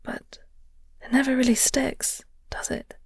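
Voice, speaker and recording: Woman doing a flirty vampire for audio rp, soft breathy half whisper, bit of gravelly creak, really close dry sound, halting n tender. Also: high-pitched, little pitch movement, no echo, heavily acted. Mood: wistful, reflective. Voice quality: breathy, husky. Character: flirty, gentle vampire nemesis comforting a heartbroken human. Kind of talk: intimate audio roleplay acting, half-whispered.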